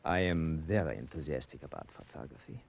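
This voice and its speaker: An actor playing a sinister, formal villain who talks slowly and chuckles, in an old radio drama. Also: male voice, very deep voice, gravelly, slightly rough, heavily acted. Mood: menacing. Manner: slow, formal, mocking, chuckling. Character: smooth sinister male foreign-sounding villain. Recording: dull old radio recording.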